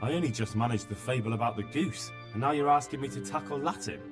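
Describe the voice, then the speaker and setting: A man's voice: medium pitch, fast talker, music in the background, lightly acted. A man playing an earnest, plain-spoken young servant of a lord in a medieval video game. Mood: earnest, unsure.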